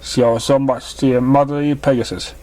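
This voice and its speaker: Casting: amateur male story narrator, medium pitch, uneven read with stumbles and misreadings. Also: steady tone, brisk, mellow. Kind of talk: fan fiction story narration.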